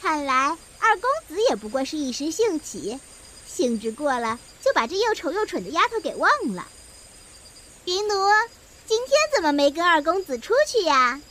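A woman's voice: high voice, sing-song, moderate pace, a bit bright, a little hiss or hum. A woman acting a young, teasing, petty maidservant in a Chinese period audio drama. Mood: catty, teasing.

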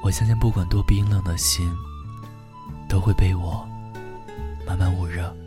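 An actor playing a gentle, devoted young boyfriend in a radio drama, speaking softly, tenderly and unhurriedly with a wistful air. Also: male voice, low voice, fairly even intonation, close to an everyday voice. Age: young adult. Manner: soft, tender, unhurried, breathy phrase endings. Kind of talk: intimate first-person radio drama narration. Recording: music behind the voice.

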